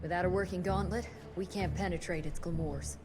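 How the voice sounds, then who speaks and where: An actress playing a composed, sensible doctor in a video game, diplomatic with a dry edge. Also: female voice, lowish voice, fairly even intonation, brisk, over music, lightly acted. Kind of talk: video game cutscene acting.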